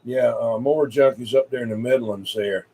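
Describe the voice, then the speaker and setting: A man's voice: medium pitch, normal speed. A man with a Southern US accent rambles along in a folksy way, chatting casually as a radio operator. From South Carolina, United States. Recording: distant mic with background hiss.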